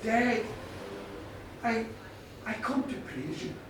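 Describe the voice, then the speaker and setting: A grieving widower from a folk tale played by a male storyteller declaims in a high, emphatic voice. He repeats himself with raw emotion. The sound is distant and very echoey. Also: moderate pace. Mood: grateful, sorrowful. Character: grieving widowed man, obedient yet bitterly emotional. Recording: distant mic, very echoey space, faint noise.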